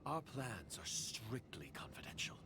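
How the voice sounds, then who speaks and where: A man voicing a wary, guarded conspirator in an anime game, speaking formally in a hushed way. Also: medium pitch, sing-song, a little slow, noisy background. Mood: suspicious. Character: suspicious, guarded foreign conspirator.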